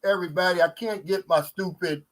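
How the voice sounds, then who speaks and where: A middle-aged man hosts a live stream, chatting in a relaxed, folksy way. His pitch sits rather high for a man. He reads viewer questions aloud and answers them casually. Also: fairly quick. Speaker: host.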